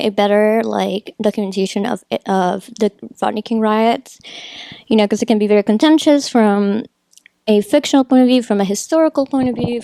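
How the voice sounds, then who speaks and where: Creaky, higher-voiced woman critiquing a film. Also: mellow, no room sound. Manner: rambling, opinionated, conversational with frequent asides. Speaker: video essayist, film critic. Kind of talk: informal film critique commentary, partly scripted.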